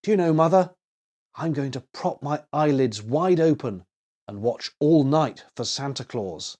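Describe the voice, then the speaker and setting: A man's voice: a little high, lively intonation, a little slow, a little mellow. A man voicing an earnest, eager little boy full of wonder in a children's storybook reading.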